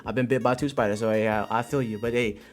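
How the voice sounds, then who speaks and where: A man's voice: fairly low pitch, steady tone, fast talker. A young American man giving casual, playful livestream commentary to his chat, telling stories in a slangy, exaggerated way. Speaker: streamer and content creator. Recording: music behind the voice. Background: music.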